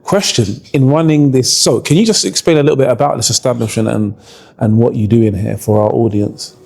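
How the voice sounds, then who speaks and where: Friendly male podcast host, mellow. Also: medium pitch, a little fast, creaky, slightly rough. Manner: friendly, short prompting questions. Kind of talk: street interview.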